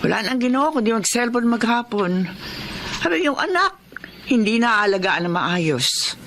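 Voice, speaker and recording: A woman's voice: on the high side, sing-song, moderate pace, a bit bright. A woman playing a weary, envious Filipino mother who laments, in an audio drama. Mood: wistful, complaining. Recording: clear background ambience noise.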